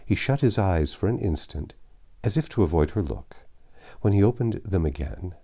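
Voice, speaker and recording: A man with a low, mellow voice narrates a classic novel. His pitch moves freely as he goes, and his delivery is measured and expressive, like an audiobook storyteller. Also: slightly rough.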